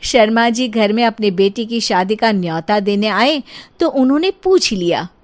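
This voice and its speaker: A woman's voice: medium pitch, lively intonation, normal speed. A woman narrator telling a scripted comic family tale. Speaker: story narrator.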